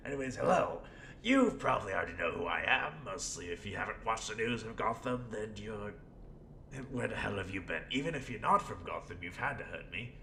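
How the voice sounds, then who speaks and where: Male amateur performer as scheming clown villain, low gravelly voice, sardonic rambling, erratic muttering and outbursts, roleplay monologue, roomy echo. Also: lively intonation, brisk, pushed and bright, heavily acted. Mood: sardonic, cocky. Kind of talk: in-character audio roleplay monologue. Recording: roomy echo, faint rumbling background noise.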